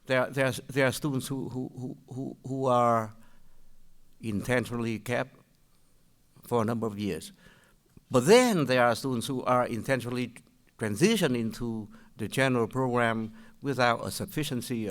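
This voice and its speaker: An older man from Vietnam with a Vietnamese accent, asking questions at a public meeting in a halting way, with many restarts. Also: medium pitch. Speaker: public commenter.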